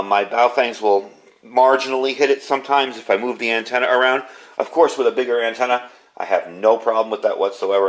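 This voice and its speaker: A middle-aged man with an American accent giving a casual, enthusiastic product review in an informal, conversational way. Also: fairly low pitch. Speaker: product reviewer, amateur radio hobbyist.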